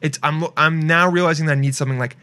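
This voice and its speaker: A man's voice: on the high side, steady tone, quick pace, pushed and bright. A young man in his twenties hosting a podcast, talking casually and rambling, with lots of fillers and laughs. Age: 20s. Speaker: podcast host. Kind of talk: casual chat.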